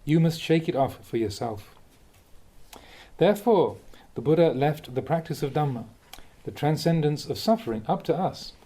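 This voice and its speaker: Male monk reading aloud; animated, melodic delivery, calm and reflective. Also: on the high side, moderate pace. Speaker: Buddhist monk reading teachings. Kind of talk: reading a dharma talk aloud.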